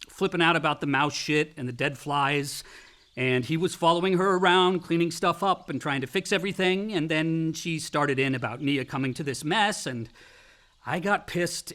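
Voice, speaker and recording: An actor playing a volatile, wounded college-age son in a radio play, with a sardonic, defensive American delivery. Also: male voice, fairly high pitch, a bit bright. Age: young adult. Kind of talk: naturalistic radio play acting.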